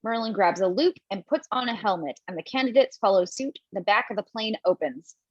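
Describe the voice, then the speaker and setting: A woman's voice: mid-range voice, lively intonation, brisk, no echo. A woman reads out the scene settings and actions of a script for a home table read. Her narration is plain and matter-of-fact. The sound is dry and a bit dull. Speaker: stage-direction reader. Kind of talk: reading script stage directions.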